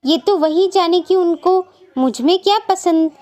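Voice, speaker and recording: Casting: young woman for a cartoon story, extremely high, girlish pitch acted by a woman. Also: a little slow, a little mellow. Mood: sweet, fond. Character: young Indian woman, cartoon bride or sister. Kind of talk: Hindi cartoon story dialogue.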